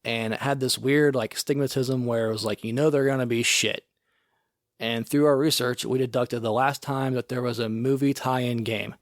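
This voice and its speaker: A man in a relaxed podcast chat, his voice sitting at an ordinary middle pitch. He rambles loosely and fills his sentences with "like" and "you know." The sound is close and dry, with no room around it. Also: normal speed, no room sound. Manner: rambling, filler-heavy, often says "like". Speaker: podcast co-host. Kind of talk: casual chat.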